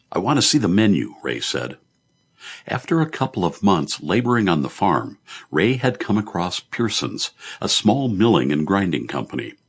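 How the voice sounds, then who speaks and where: Deep husky man narrating fiction. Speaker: audiobook narrator. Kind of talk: scripted literary fiction narration.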